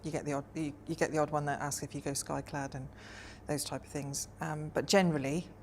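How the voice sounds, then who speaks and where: Low gravelly-voiced woman in her forties. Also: little pitch movement, brisk, a little mellow, slight background noise. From Wales. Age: 40s. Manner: thoughtful, hesitant with frequent ums. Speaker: interviewee. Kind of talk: reflective personal interview.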